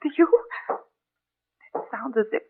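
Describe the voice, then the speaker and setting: An actress playing a polite, sensible wife in an old radio horror drama, measured and gently hesitant. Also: female voice, lightly acted. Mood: uneasy. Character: polite, sensible young wife in haunted house. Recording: thin, phone-like old radio recording.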